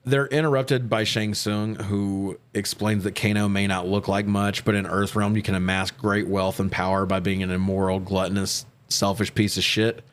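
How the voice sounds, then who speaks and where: A man's voice: lowish voice, flat delivery, normal speed, few pauses, clean tone. A man co-hosting a movie review in casual, profane recap commentary, with an irreverent way of talking. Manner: irreverent, swears freely. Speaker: podcast co-host reviewing movies.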